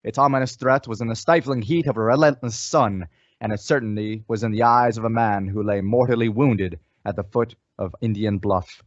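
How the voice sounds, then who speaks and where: A man's voice: on the low side, fairly even intonation, normal speed, no room sound. Male old-time radio narrator, slightly dull sound. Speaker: radio drama announcer and narrator.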